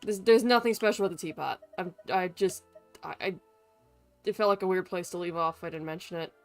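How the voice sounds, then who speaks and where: A woman's voice: lowish voice, a little fast. A woman live streamer giving casual, irreverent gaming commentary peppered with swearing. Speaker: live streamer playing a game. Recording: faint game music behind voice. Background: game music.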